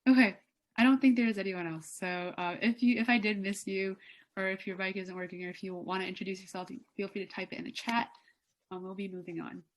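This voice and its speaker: A young woman runs a student meeting on a video call. She sounds easygoing and leans on filler words. Her pitch sits in the middle. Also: brisk. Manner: relaxed, filler-heavy. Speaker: meeting leader. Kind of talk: casual online meeting.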